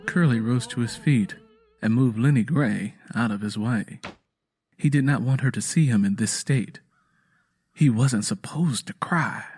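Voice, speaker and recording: A man's voice: medium pitch, unhurried, a little mellow, a little hiss or hum. A man narrating a scripted dramatic story as its storyteller.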